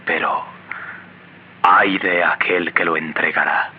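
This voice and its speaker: An actor playing a calm, sorrowful holy teacher with grave, measured delivery in a radio drama. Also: male voice, sits low, breathy edge, exaggerated voice. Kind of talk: Latin American radio drama acting. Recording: lo-fi radio drama over loud music.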